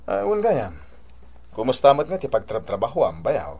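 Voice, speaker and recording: A man's voice: mid-range voice, wildly swooping pitch, normal speed, darkish tone, husky. An actor playing a testy working husband and father from the city in an Ilocano radio drama. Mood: conversational.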